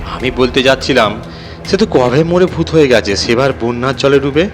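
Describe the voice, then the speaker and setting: Guy narrating a spooky audio story, mid pitched voice, faint music behind him. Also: normal speed.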